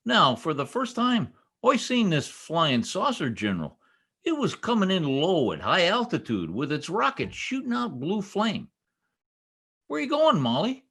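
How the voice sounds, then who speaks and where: A man's voice: high voice, huge pitch swings, unhurried, a bit bright, breathy edge, full character voice. A man playing a boastful, bumbling, long-winded husband in folksy old-time radio comedy style. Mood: self-important. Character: blustering, long-winded, self-important husband, a tall-tale teller. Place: at home on a video call.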